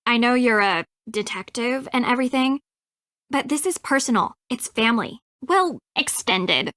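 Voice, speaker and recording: A woman's voice: fairly high pitch, animated, normal speed, a bit bright, no echo, studio quality. An actress voicing a snippy, defensive young American woman in an adventure game, sarcastic, clipped and emphatic. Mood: defensive, firm. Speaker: video game character, voice actress. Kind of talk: acted dialogue in an adventure game.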